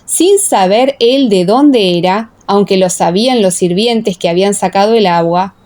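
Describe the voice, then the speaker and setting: A woman's voice: mid-range voice, normal speed, darkish tone. A woman narrating a dramatized Bible audiobook in a solemn, carefully phrased way.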